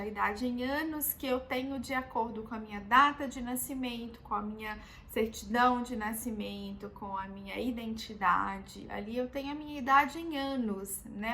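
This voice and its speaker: A Brazilian woman in her thirties, a doctor, patiently explaining a health topic in a calm, teaching way and often checking in with the listener. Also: a little high, a little hiss or hum. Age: 30s. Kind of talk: explanatory health video talk.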